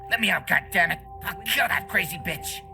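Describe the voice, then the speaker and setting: A woman's voice: fairly low pitch, expressive, brisk, creaky. A woman playing a brash, foul-mouthed, agitated captive in a video game, with music behind her. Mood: furious.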